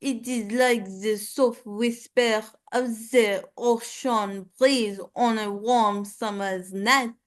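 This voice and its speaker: A woman's voice: a little high, steady tone, slow, hardly any pauses. A woman voicing a romantic male cartoon skunk with an imitated French accent, speaking in careful theatrical phrases.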